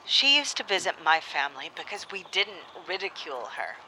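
A solemn woman storyteller speaks for a radio drama with proud, deliberate weight. Her voice is bright and firm, with a faint noise of an old cylinder recording. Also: on the low side, sing-song, exaggerated voice, rough recording. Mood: reflective.

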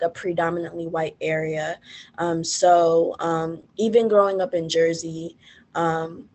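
Hesitant young woman, low and flat. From Haiti, raised in the US Northeast. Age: 20s. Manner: hesitant, many "um"s, thoughtful. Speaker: interview guest. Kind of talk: casual interview talk. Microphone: video call.

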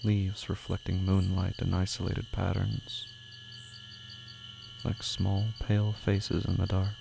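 A man narrating scripted horror slowly, in a hushed, deliberate, ominous manner. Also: low-pitched, completely flat delivery, gravelly, background noise. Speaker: horror fiction podcast narrator.

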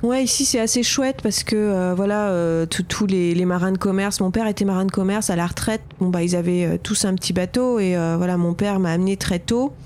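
A middle-aged woman who works as a fisher and lecturer, answering interview questions in a hesitant way with many pauses and restarts. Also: mid-range voice, a little mellow. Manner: hesitant, full of fillers and restarts.

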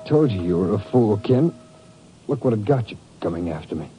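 An actor playing a bitter, fearful, disillusioned turncoat agent with an agitated, self-mocking manner in 1950s radio drama style. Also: male voice, on the low side, expressive, fairly quick, loud music behind the voice, close to an everyday voice, low-quality recording. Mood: warning. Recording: old radio recording, faint noise.

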